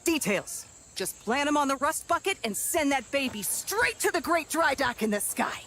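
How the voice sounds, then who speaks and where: A woman playing a flamboyant, self-important film director in a video game, gushing, theatrical and bossy as she talks fast. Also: sits high, sing-song, bright, forceful tone, a little hiss or hum, heavily acted. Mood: pushy, effusive. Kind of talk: video game cutscene acting.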